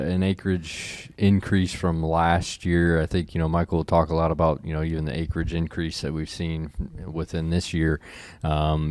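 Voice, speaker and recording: A man with a very deep, smooth voice explains crop conditions as an agricultural specialist. He talks in a laid-back way, chuckling and thinking aloud as he goes. The sound is dry and close. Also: dry recording. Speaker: extension corn specialist. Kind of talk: casual expert chat.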